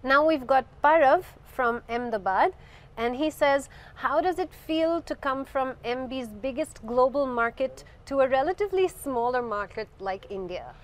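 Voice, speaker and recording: A woman hosting a live interview inside a parked car, bubbly and quick to laugh, reading out viewer questions. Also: high-pitched, animated. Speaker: host. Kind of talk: upbeat hosted interview.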